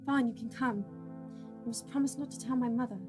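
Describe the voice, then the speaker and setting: Woman playing a sheltered, high-voiced young princess. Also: steady tone, fairly quick, over loud music, lightly acted. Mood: reserved. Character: sheltered young princess, polite, guarded, kind-hearted runaway.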